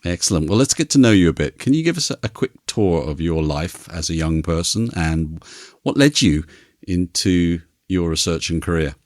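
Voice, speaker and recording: Weary low-voiced male podcast host. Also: expressive, normal speed, no room sound, studio quality. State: tired. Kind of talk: scripted intro, then casual chat.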